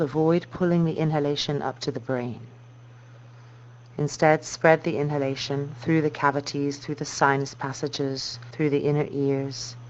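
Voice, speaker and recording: A dull, slightly noisy recording with a low hum carries a woman teaching yoga. She guides a meditation softly and calmly, in measured, unhurried phrases. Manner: slow, soft, calming delivery with measured phrases.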